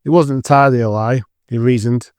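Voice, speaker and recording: A man's voice: mid-range voice, steady tone, fairly quick, a little mellow, smooth, dry recording, clean studio sound. A man giving scripted narration as the storyteller of an audio drama.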